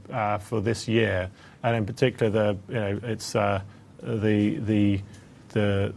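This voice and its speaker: A man speaking as a company executive, answering analysts on an earnings call in a hesitant, halting, measured way. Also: on the low side, creaky, a little mellow.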